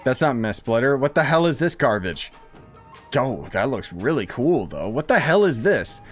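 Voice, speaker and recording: A man's voice: mid-range voice, sing-song, moderate pace, faint music behind. A man streaming a video game, giving casual, blunt and flippant commentary in slangy talk. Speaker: gaming streamer.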